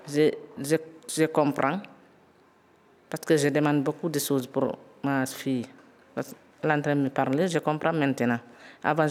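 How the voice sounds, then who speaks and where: A man's voice: a little high, a little mellow, big-room sound. A man learning French, a parent, giving a testimonial and stumbling over repeated words. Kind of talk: spoken testimonial.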